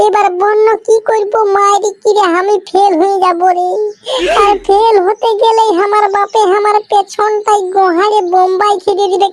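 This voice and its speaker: A performer voicing an excitable, nagging teenage schoolboy in a comic cartoon, with insistent rustic slang and a Purulia accent from West Bengal. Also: very high-pitched, no room sound. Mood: agitated.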